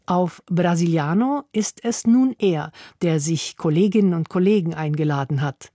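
A woman narrates a scripted radio feature in a measured, even read. Her voice sits a little low and sounds mellow and warm. The delivery is polished and steady. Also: no echo, clean studio sound. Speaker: radio presenter.

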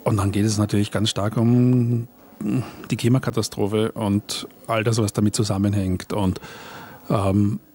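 A man's voice: on the low side, flat delivery, unhurried, a little mellow, a little hiss or hum. Hesitant man thinking aloud. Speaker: guest, radio play and book author. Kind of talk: conversational panel talk. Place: at a live panel event.

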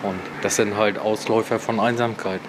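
A man's voice: lowish voice, steady tone, moderate pace. A man, giving informal interview answers as a social worker. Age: 40s. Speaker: social worker and café organiser. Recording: clear ambient background noise.

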